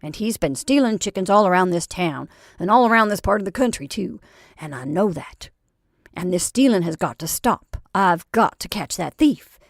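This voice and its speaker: Woman playing a thin, crisp, impatient Santa impostor. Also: fairly low pitch, expressive, moderate pace, no room sound, clean studio sound. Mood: insistent.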